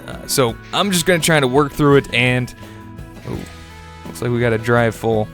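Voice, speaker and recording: A man's voice: mid-range voice, moderate pace, a bit bright. A young man with a stuffy, congested voice, chatting casually as he narrates his own gameplay video. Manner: chatty, upbeat, with little laughs and fillers. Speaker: gaming video host. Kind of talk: casual let's-play commentary. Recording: faint music bed behind voice.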